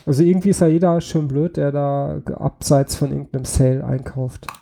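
Gravelly man with a slight cold. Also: on the high side, little pitch movement, a little fast. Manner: hesitant, lots of fillers, quiet laughs. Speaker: podcast co-host. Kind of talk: casual chat.

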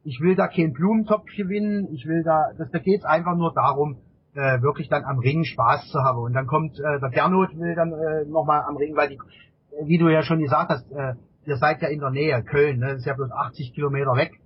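A middle-aged man with a husky voice answers casually as an interview guest, often repeating words while he searches for phrasing. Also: a little high, fairly even intonation, fairly quick. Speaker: interview guest, amateur endurance cyclist. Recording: dull, lo-fi interview audio.

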